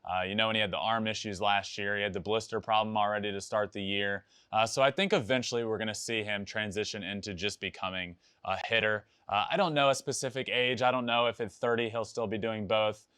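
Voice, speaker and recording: A young American man with a fairly low voice talks sports as a podcast host. His delivery is bright and forceful, conversational and emphatic, often repeating a phrase for stress. The sound is dry and close. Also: steady tone, fairly quick, few pauses, clean tone, no echo. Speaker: podcast host and former professional baseball player. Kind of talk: casual sports talk answering listener voicemails.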